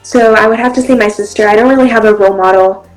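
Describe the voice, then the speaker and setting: A woman's voice: medium pitch, steady tone, fast, faint music behind, poor audio. A young woman from Brazil, whose first language is Portuguese, answering interview questions in a friendly, chatty way with many ums. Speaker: interview guest, actress and model.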